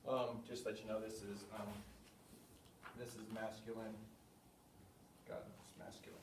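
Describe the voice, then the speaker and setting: A man teaching a basic language class, thinking aloud and spelling out words slowly. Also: lowish voice, little pitch movement, far from the mic. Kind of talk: classroom lesson at a whiteboard. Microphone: camera at a distance. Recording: echoey room, voice from afar, faint hum.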